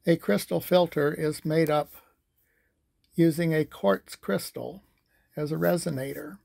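A man giving an informal, matter-of-fact technical demonstration as a technician, speaking somewhat haltingly. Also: fairly high pitch, fairly even intonation, gravelly, darkish tone.

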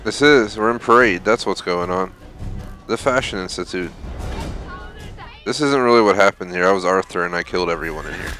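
A man's voice: deep voice, a little fast, pushed and bright, soft background music. A man giving casual, off-the-cuff commentary while playing through a video game. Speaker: gaming video host.

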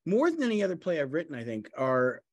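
A middle-aged man, a playwright in a talkback discussion on a video call, speaking haltingly and rephrasing often. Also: on the high side, huge pitch swings, no echo, studio quality. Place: room at home, on a video call.

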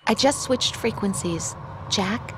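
A woman's voice: mid-range voice, slow, background noise. A woman playing a caring young mission support operator and worried girlfriend in a video game, over a radio call. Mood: businesslike.

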